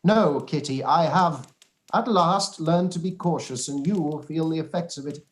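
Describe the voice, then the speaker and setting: A man playing a weary, dryly sardonic older country gentleman and father in a radio play. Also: fairly high pitch, natural voice. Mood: stern, sardonic. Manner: dry, wry, deliberate. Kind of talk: radio play live read.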